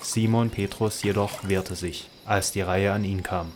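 A man narrating a scripted radio drama in a measured, even way. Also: low-pitched, slight background noise.

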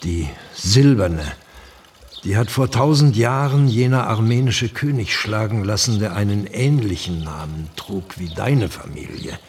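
An actor playing a frail, courteous old Turkish gentleman in a radio play, speaking formally and with weight, a pious and grave sage. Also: fairly low pitch, vocal fry, far from the mic, a little hiss or hum, heavily acted. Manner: slow, deliberate, formal, weighty.

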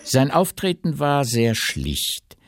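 A man's voice: mid-range voice, huge pitch swings, takes it slowly, no room sound, studio quality. A man narrating a literary radio drama as storyteller.

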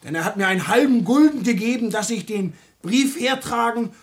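A simple peasant messenger in a radio comedy. The voice is very high for a man, eager and obsequious. He reports deferentially, in a theatrical manner. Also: lively intonation, a little fast.

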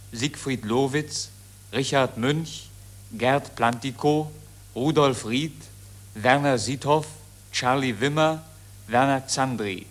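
A man making a formal announcement in the style of vintage radio credits, with measured enunciation. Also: medium pitch, a bit bright.

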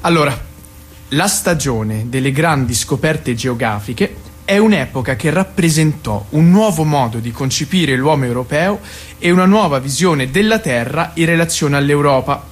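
An actor playing a bookish, impatient study partner in a stage comedy-drama, pedantic and easily exasperated. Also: male voice, on the high side, lively intonation, moderate pace, natural voice. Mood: studious.

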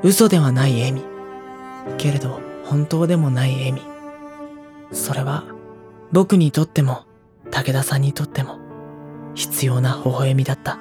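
A woman playing a mild, hesitant high school boy in a Japanese audio drama, soft-spoken and polite, with inner monologue. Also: measured pace, pauses often, music in the background. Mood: somber, introspective. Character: mild, obtuse high school boy narrating his anxieties.

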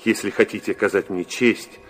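An actor playing a courteous, stiff prince with a military bearing, formal and measured, in a Russian radio play. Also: male voice, fairly low pitch, fairly even intonation, soft background music, natural voice. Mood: formal courtesy.

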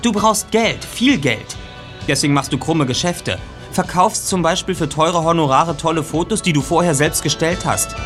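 An actor playing a shrewd investigator with a pointed, insinuating way of accusing, in a German audio drama. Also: male voice, a little high, normal speed, faint music behind, lightly acted. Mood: accusing, confident.

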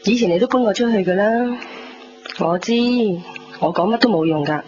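A woman's voice: medium pitch, lively intonation, moderate pace, lightly acted. An actress playing a worried, resigned middle-aged mother in a 1990s radio drama, with a Hong Kong Cantonese accent. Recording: dull 1990s radio recording, noisy.

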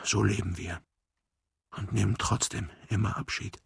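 An actor playing a terse philosophical speaker in an experimental radio play, reciting short fragments in a gravelly, husky voice. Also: male voice, lowish voice, fairly even intonation, moderate pace. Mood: terse, somber. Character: terse philosophical male speaker of cryptic aphorisms.

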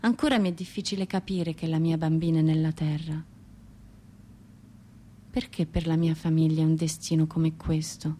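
An actress plays a woman poet, tormented and proud, a grieving mother with a romantic soul. Her voice sits on the low side and moves through the lines with dramatic intensity and deliberate pauses. It is a radio theatre monologue, with music playing behind her. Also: flat delivery, dark tone. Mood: mournful, proud.

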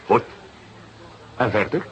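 An actor playing a shrewd, unruffled police inspector who questions in a measured, drily insistent way in a detective radio drama. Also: male voice, highs cut off, a little hiss or hum, close to an everyday voice. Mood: sceptical, prodding.

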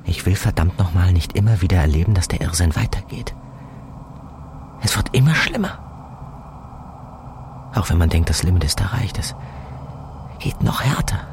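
An embittered police detective in a German radio drama. His voice sits low, with standard German speech. He is blunt, sardonic and unwilling to believe what he is told. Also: expressive, normal speed, long pauses, noisy background. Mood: bitter, agitated.

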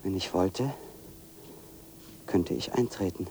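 An actor playing a solitary, brooding man who narrates his own walk in a measured, deliberate way, as in a radio play. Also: deep voice, darkish tone, a little hiss or hum, rough recording. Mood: brooding, observant. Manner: measured, deliberate, literary first-person narration. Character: solitary brooding man walking into a dark forest. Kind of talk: radio play interior monologue.

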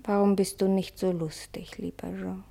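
Amanda, a breathy, restless young wife. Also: female voice, medium pitch, level tone, warm tone. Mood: wistful, insistent. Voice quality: breathy, often sinking into whisper. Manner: dreamy, intense, drawn-out phrases. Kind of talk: German radio play acting.

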